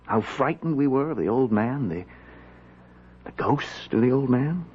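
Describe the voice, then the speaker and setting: A muffled vintage radio recording of a young colonial gentleman in a radio drama. His husky voice is earnest and brooding, with formal, hesitant phrasing that turns melodramatic. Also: medium pitch, lively intonation, measured pace. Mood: brooding, accusing. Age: young adult. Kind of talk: 1970s radio drama acting.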